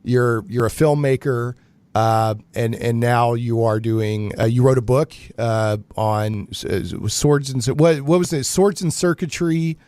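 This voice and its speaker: A man's voice: medium pitch, measured pace, darkish tone, clean tone, no echo. A man hosting a radio show, chatting casually in a jokey, rambling way with frequent digressions. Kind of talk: casual, rambling interview chat.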